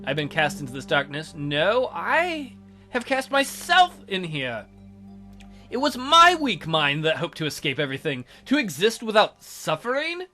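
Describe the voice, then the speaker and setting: A man's voice: fairly high pitch, sing-song, measured pace, pushed and bright, faint music behind. A man reading a visual novel aloud theatrically as a let's play host, with casual, jokey asides.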